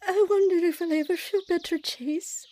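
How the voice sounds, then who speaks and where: A woman's voice: very high-pitched, steady tone, moderate pace, a little mellow. An actress playing a troubled young mother telling her painful life story in a radio drama. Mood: anguished. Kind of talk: dramatised first-person narration, radio drama. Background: music.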